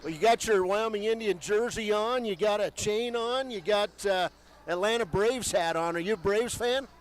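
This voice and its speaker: A man's voice: high-pitched, normal speed, a little hiss or hum. A man hosting a radio pregame show from courtside, chatting upbeat with a guest. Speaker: radio sports broadcaster. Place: courtside in a gym.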